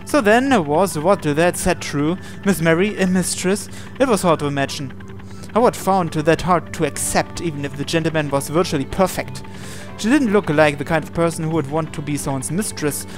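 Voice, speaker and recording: A man's voice: fairly high pitch, moderate pace. A man reading visual novel narration aloud as a gaming channel host, dropping in casual asides. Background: game music.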